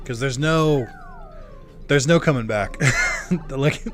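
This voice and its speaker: A young man streaming a game live, chatting loosely with many fillers while his voice starts to go hoarse. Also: medium pitch, slow, a bit bright, soft background music. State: voice going hoarse. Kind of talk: casual live-stream chat while gaming.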